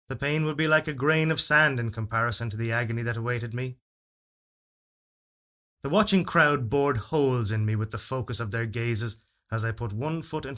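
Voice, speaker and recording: A man reading a literary fairytale as narrator, in a solemn, measured and expressive storytelling delivery. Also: mid-range voice. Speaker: story reader. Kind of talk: dramatic literary narration of a first-person fairytale.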